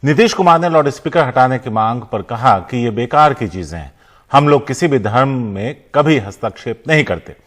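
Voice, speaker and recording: A man's voice: mid-range voice, expressive, normal speed, a bit bright. A man reading scripted television news. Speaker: news presenter.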